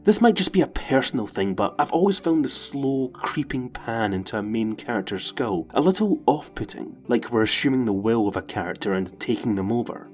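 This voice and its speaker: A man's voice: medium pitch, animated, fairly quick, hardly any pauses, soft background music. A man delivering scripted narration as the presenter of a video essay.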